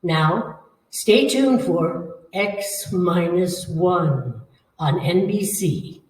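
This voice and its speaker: A woman's voice: lowish voice, expressive, very slow, a little mellow. A woman reading a scripted old-time radio promotional announcement. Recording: echoey room, distant mic.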